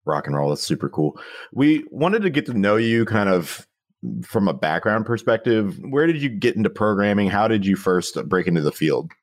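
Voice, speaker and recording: A man hosting a podcast interview, joking with his co-host and guest in a relaxed, playful way. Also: on the low side, moderate pace. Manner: playful and jokey, laughs easily.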